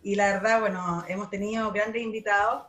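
A woman's voice: mid-range voice, steady tone, fairly quick, fairly bright tone, faint music behind. A woman hosting an interview show, introducing her guest and asking questions in a chatty way. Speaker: host.

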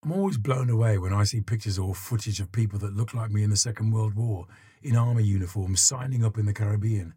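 Husky man reading a conversational audiobook. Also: lowish voice, fast talker, mellow. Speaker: audiobook reader in interviewer part.

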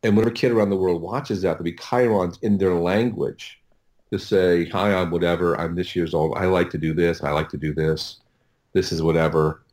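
A man talking casually as an interview guest, explaining things in a halting way and often repeating his words. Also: deep voice, fairly even intonation, darkish tone. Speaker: interview guest, audio producer.

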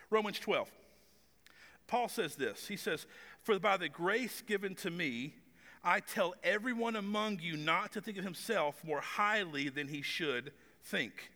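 Man around forty, pastor preaching a sermon, bright ringing voice, a bit high, conversational, reverberant church hall. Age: 40s. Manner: conversational and self-deprecating, with stumbles and fillers. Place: church stage with long reverb.

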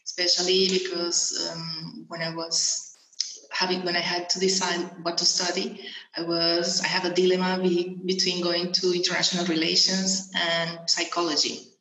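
A woman from Venezuela answering interview questions as a coach and mentor, talking with many pauses, ums and restarts. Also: fairly low pitch, completely flat delivery, sharp tone, echoey room, poor audio.

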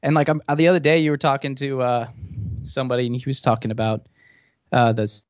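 A man's voice: mid-range voice, level tone, quick pace, dry recording. A man who grew up in Thailand, a podcast guest, talking politics casually and rambling with many restarts. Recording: dull lo-fi, dry sound.

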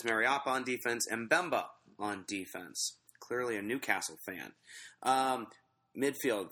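A man hosting a soccer podcast in a relaxed, chatty way, reading out fantasy stats with fillers, a bit stuffy and sniffly from a cold. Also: fairly low pitch. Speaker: podcast host.

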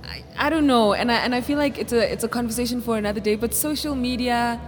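A woman's voice: on the high side, fast talker. Affirming woman interviewer over music. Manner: warm, affirming, full of compliments. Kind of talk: casual interview chat. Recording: music playing behind.

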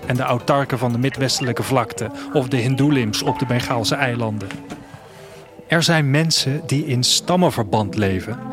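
A man playing a restless, wistful traveller, narrating his story in a reflective, measured literary audio drama style. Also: medium pitch, natural voice. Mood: earnest, reflective. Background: music.

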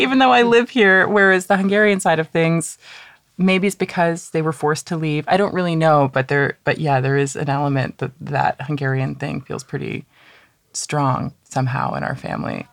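A woman speaks in a relaxed, reflective way, working her thoughts out as she goes. Her voice has a gravelly fry at the phrase ends. The sound is close and dry, with no room around it. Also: deep voice, no echo. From Toronto, Canada. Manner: reflective, thinks aloud with restarts. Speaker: playwright and dialogue director. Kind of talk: casual podcast chat.

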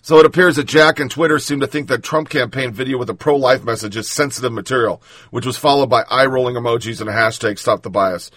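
A man's voice: medium pitch, fast, fairly bright tone, breathy edge, dry recording, studio quality. A man hosting political commentary, reading news and then ranting bluntly with sarcasm and profanity.

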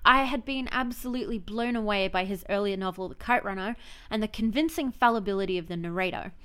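A woman's voice: medium pitch, fast talker, sharp tone, smooth. A woman hosting a podcast, giving a prepared but conversational review. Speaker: podcast host. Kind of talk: prepared spoken book review.

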